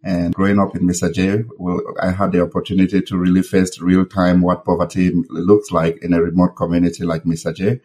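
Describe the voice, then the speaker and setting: Man from Cameroon, forties, podcast guest, earnest storyteller, deep voice, monotone. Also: a little fast, few pauses, husky. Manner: earnest, storytelling with fillers and restarts. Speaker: podcast guest, nurse and public health expert. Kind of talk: conversational interview.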